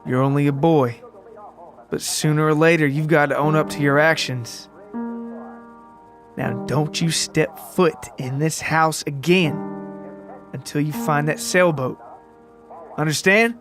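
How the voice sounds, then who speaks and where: A man's voice: a little high, fairly even intonation, measured pace, long pauses, over music. A man voicing a scruffy, bullying father in a narrated story, folksy, mocking and threatening. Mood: stern, cold. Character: scruffy, menacing, hard-drinking father, bullying and scornful.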